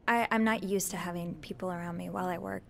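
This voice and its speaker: A woman's voice: lowish voice, fairly even intonation, fast talker, gravelly, slight background noise. A woman voicing a reserved, curious hotel maid in a narrative video game. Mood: hesitant, reserved. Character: young female hotel maid, nosy, reserved, soft-spoken.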